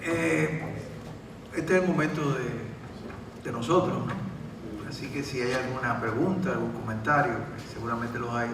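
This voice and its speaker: A man's voice: medium pitch, unhurried. A man moderating a question-and-answer session at a literary gathering, joking informally with the audience. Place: large event hall. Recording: echoey hall from far off, background noise.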